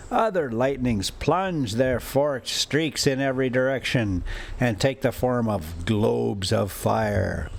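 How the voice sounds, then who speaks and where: A man reading a classic adventure story aloud as a storyteller, in deliberate, halting phrases with an informal touch. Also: mid-range voice, creaky. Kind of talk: audiobook-style reading with casual asides.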